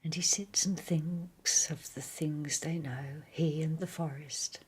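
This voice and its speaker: A woman reading poems aloud in a hushed, soothing whisper, as in ASMR. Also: sits low, fairly even intonation, takes it slowly, slightly rough. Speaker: reader.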